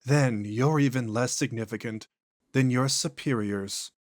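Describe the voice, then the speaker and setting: Cold, imperious possessing entity in a horror audio drama, voiced by a man, slow and detached. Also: medium pitch, dry recording, studio quality, lightly acted.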